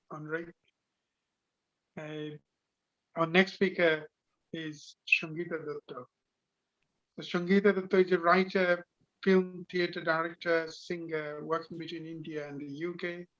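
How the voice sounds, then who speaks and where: A man moderating a conference, thanking one speaker and reading out the next speaker's biography in a measured way, with pauses. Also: fairly high pitch, flat delivery, fairly bright tone. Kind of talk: introducing speakers. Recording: dull, lo-fi audio.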